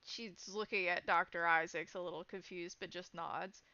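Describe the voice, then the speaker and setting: A woman narrates a roleplaying scene in improvised phrases. Her voice is crisp-edged and bright with noticeable fry. The sound is very dry and a little dulled. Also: fairly low pitch, fairly even intonation, moderate pace, no room sound, dull audio. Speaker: game player narrating her character.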